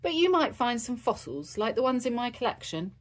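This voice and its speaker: Casting: woman as friendly adult rabbit lady, storybook guide to youngsters, expressive rise and fall. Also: a little high, fairly quick, pitched above the performer's own voice. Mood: kindly. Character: kindly adult female rabbit, fossil-hunting guide.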